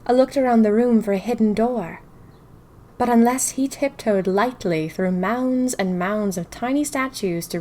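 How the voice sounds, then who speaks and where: A woman's voice: medium pitch, lightly acted. A woman playing a spirited, inquisitive young diarist of the 1920s, narrating theatrically in a fiction podcast. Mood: suspenseful, bewildered. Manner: theatrical, expressive storytelling. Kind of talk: first-person diary narration in a fiction podcast.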